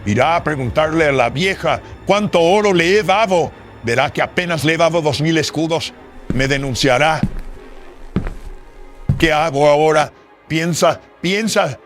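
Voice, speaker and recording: Man playing a scheming, agitated notary, higher voice, music behind. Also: unhurried, pauses often, close to an everyday voice. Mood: panicked scheming. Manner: muttering to himself, theatrical, agitated. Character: greedy, scheming colonial notary, nervous and crooked. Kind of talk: melodramatic horror radio drama acting. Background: dramatic music.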